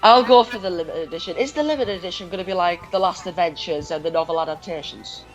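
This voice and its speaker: A man's voice: high voice, fast, muffled recording, soft background music. Young male fan, casual chat. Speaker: podcast co-host or guest.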